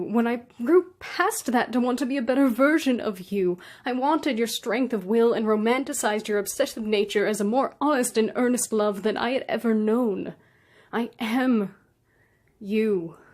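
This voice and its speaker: A woman with a fairly high-pitched voice reads as a slam poet. Her delivery is earnest and dramatic. She sets phrases apart with deliberate pauses. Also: a little mellow. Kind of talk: slam poetry reading.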